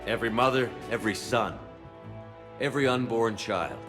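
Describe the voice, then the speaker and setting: A man's voice: mid-range voice, normal speed, over music, natural voice. A man playing a steadfast, sober military commander in a video game cutscene. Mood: solemn, inspiring. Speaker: squad commander.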